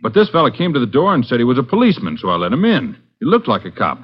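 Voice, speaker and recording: An actor playing an agitated drugstore owner recounting a holdup in a 1940s radio drama. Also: male voice, medium pitch, racing, husky. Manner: agitated, animated. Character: indignant male drugstore owner. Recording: lo-fi old radio broadcast.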